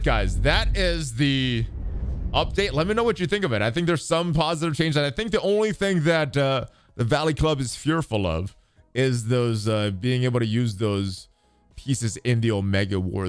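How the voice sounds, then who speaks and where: Clear-voiced man doing casual game commentary. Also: medium pitch, no echo. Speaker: host of a gaming channel.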